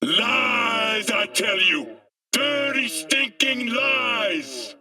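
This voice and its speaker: High-pitched, fiery street preacher. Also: male voice, big pitch swings, extremely slow, bright, forceful tone, breathy edge, shouting, recorded from a distance, heavily acted. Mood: angry, urgent. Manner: impassioned, declamatory, preaching. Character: fiery male street preacher ranting against corporate dystopia. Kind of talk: dramatic video game monologue.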